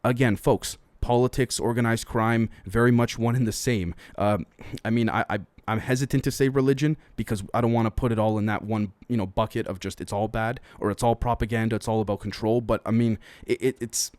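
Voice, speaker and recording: A mid-pitched man talks his way through the day's headlines in a loose, conversational style, weighing in with his own opinions and speculation. He keeps turning to address his listeners directly. The recording is close and dry, with little room sound. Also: steady tone, a little fast, a little mellow, no echo. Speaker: podcast host. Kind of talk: solo news commentary podcast.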